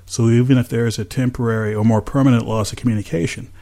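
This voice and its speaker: An American man speaking as an aviation safety analyst, in a measured, careful, explanatory way. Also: mid-range voice, steady tone, mellow. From United States. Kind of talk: measured explanatory interview talk.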